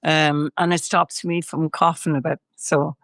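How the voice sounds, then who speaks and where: A woman's voice: sits low, flat delivery, unhurried, a bit bright, no room sound, studio quality. An older woman from Ireland, a singer interviewed as a guest, talking in a rambling way with many fillers and restarts while chewing gum. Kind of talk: casual interview chat.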